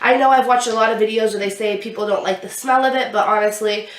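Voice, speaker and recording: A woman's voice: a little high, steady tone, fast. A young woman with a stuffy nose from a cold, chatting casually through a makeup tutorial while she applies products. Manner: chatty, explaining each step while applying makeup. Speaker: beauty vlogger. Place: small indoor room with a glittery backdrop. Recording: roomy sound, somewhat far from the mic.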